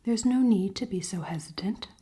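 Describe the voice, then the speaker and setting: A woman playing a cold-hearted, commanding elven queen in a fantasy ASMR roleplay, soft and often whispering, with formal, teasing speech. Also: medium pitch, normal speed, slightly rough, close to an everyday voice. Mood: commanding, coaxing. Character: cold-hearted, dominant elven queen, haughty, secretly caring.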